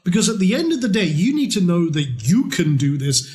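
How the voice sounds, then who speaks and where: Middle-aged Scottish man pitching a trading course, blunt and chatty, bright higher voice. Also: fairly quick. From Scotland. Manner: lively, blunt and casual, with mild swearing. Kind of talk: promotional pitch talk.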